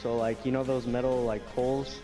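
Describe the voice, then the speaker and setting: A man's voice: medium pitch, flat delivery, a little fast, over music. A young man casually telling anecdotes to a friend, rambling, slangy and full of swearing. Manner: slangy, profane, loose and rambling.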